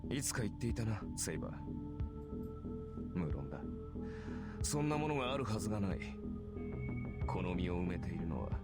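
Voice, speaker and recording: A cold, cynical swordsman from a Japanese visual novel. His very low voice has a measured, mocking sneer. Loud game music plays underneath. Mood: bitter, resigned.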